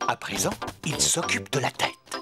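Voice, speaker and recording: A man playing the friendly narrator of a preschool cartoon, telling a simple story clearly to small children. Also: medium pitch, animated, normal speed, over loud music. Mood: explanatory. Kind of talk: scripted narration.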